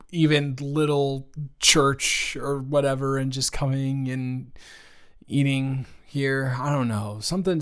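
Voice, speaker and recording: A man's voice: medium pitch, smooth, close to an everyday voice. A man playing a playful, teasing young best friend in an improvised roleplay audio, speaking casually with false starts and repeats. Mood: relaxed, fond. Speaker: audio roleplay creator and performer.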